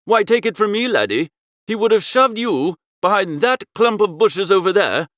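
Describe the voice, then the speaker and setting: A man reads a comic novel in the first person. His voice is fairly high and very animated, breezy and theatrical, with a dry, close sound. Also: moderate pace, no echo. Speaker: audiobook narrator.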